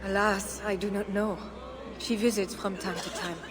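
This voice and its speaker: Medieval queen for a game drama, woman actor: measured, pious, compassionate, formal, set against clear background noise. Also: medium pitch, little pitch movement, a crowd in the background, natural voice. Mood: softened, trusting. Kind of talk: dramatic video game acting.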